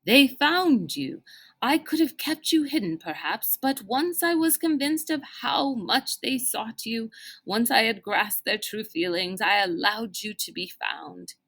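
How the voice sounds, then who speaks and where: A woman reading aloud as a formal, kindly older gentleman mentor who speaks in deliberate, old-fashioned phrases. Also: very high voice, expressive, creaky, exaggerated voice. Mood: gentle, reassuring.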